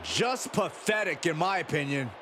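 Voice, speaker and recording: A man's voice: on the high side, lively intonation, moderate pace. An actor playing a judgmental male color commentator in a wrestling video game. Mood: disapproving. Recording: loud arena noise and thuds.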